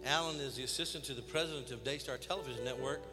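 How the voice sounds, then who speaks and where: A man's voice: mid-range voice, rapid-fire. Pastor man introducing guests, reverberant. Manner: warm-up host, calls out amen. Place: large church sanctuary. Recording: church hall reverb with music.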